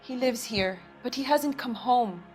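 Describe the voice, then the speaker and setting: A woman's voice: fairly high pitch, unhurried, a little mellow, natural voice. A woman playing a defiant, loyal young pregnant girlfriend in a game drama. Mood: anxious. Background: background music.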